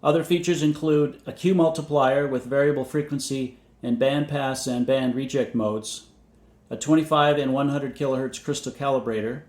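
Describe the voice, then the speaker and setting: A man presenting a scripted technical overview in a measured, matter-of-fact way, reading out details methodically. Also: mid-range voice, darkish tone. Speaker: presenter of vintage radio videos. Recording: roomy, echoey indoor sound.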